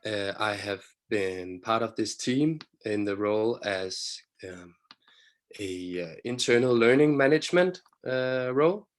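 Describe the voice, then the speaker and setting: A man's voice: fairly low pitch, expressive, unhurried. A man from Denmark introducing himself on a panel, thoughtful and hesitant with many fillers. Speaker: team member, learning manager.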